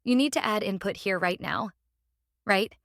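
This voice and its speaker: Dry-sounding woman giving a software tutorial. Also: medium pitch, expressive, moderate pace, no echo. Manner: instructional, often checking with "right?". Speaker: tutorial host. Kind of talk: casual step-by-step software tutorial.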